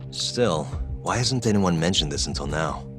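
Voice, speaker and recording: A man's voice: deep voice, animated, moderate pace, faint music behind. A man playing a cool, persistent young lawyer-detective in an English-dubbed game drama, with a probing manner.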